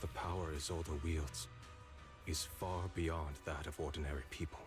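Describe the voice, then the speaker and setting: A man's voice: rumbling low, steady tone, normal speed. A man playing a brooding, guarded character in a video game, speaking in a terse, restrained way. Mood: doubtful, brooding. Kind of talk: scripted game voice acting. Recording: game audio with clear music.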